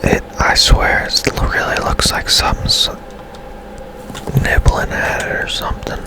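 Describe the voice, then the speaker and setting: A man whispering ASMR commentary as he plays a game, hushed and unhurried, musing aloud as he goes. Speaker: gaming ASMR host.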